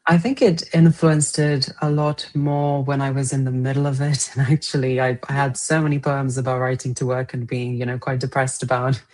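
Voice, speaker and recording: A young woman poet raised speaking Romanian at home, answering interview questions thoughtfully with pauses and soft laughs. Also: very deep voice, little pitch movement. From Romanian family, raised partly in England. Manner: thoughtful, hesitant, laughs often. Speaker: poet guest.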